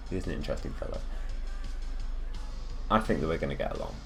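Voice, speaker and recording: A man playing a calm, calculating gangster boss in an intimate roleplay, talking in a deliberate, politely persuasive way. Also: rumbling low, faint music behind, full character voice. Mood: guarded, friendly. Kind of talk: intimate roleplay monologue to the listener.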